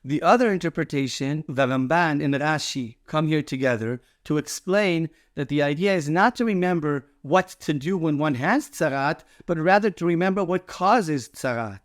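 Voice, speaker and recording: Rabbi with a higher-pitched male voice. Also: moderate pace, few pauses, no echo, clean studio sound. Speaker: rabbi giving a Torah teaching. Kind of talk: short Torah sermon, explanatory.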